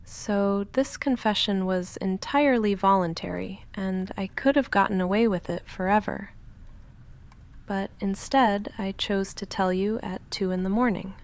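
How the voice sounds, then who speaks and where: Woman playing a soft, creaky-voiced awkward vampire roommate. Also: natural voice. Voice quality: soft, breathy whispering mixed with low murmured talk. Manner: halting, deadpan, self-conscious rambling. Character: awkward, flustered young female goth vampire roommate, secretly affectionate. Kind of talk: intimate ASMR roleplay, scripted.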